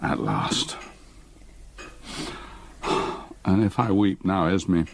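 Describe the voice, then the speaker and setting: An actor playing a grief-stricken old man haunted by his past, in a literary, measured gothic radio drama style. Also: deep voice, very animated, creaky, no room sound, full character voice. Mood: weary relief. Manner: literary, measured, emotionally burdened.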